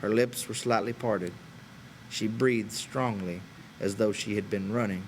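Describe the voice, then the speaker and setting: A man's voice: fairly low pitch, fairly even intonation, measured pace, slight background noise, poor audio. A man reading an audiobook as dramatic scripted narration. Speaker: audiobook reader.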